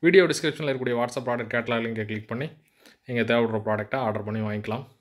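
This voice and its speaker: Fast-talking man presenting. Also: medium pitch. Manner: explanatory, mixes in English technical terms. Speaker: electronics channel presenter and seller. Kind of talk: product demonstration narration.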